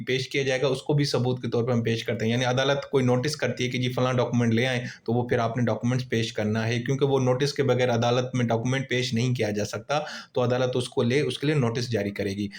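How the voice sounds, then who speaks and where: A man with a Pakistani accent teaches in the manner of an informal lecturer. His voice sits at an average pitch for a man. He explains things conversationally, leaning on everyday examples and imagined exchanges. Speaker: law lecturer.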